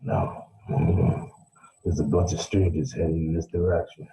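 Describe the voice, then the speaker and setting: A man's voice: low voice, steady tone, a little slow, gravelly, dark tone, poor audio. A man voicing a tired, grumbling lighthouse keeper in an amateur radio play.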